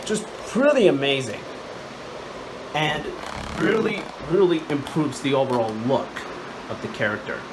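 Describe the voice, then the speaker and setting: A man hosting a casual hands-on toy review, speaking haltingly and repeating words and phrases. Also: on the high side, animated. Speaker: toy reviewer, channel host. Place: indoors, room at home. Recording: loud air-conditioner-like background noise.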